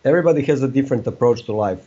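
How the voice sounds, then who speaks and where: A man's voice: medium pitch, rapid-fire, dark tone, breathy edge, dull audio. A man from Serbia with a Serbian accent, chatting casually as a podcast guest, candid and emphatic, often repeating himself.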